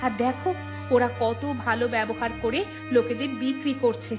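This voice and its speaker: A woman's voice: a little high, steady tone, normal speed, music in the background, natural voice. A woman voicing an anxious, plaintive village wife in an emotive moral cartoon. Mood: worried, reproachful. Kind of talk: Bengali moral cartoon dialogue.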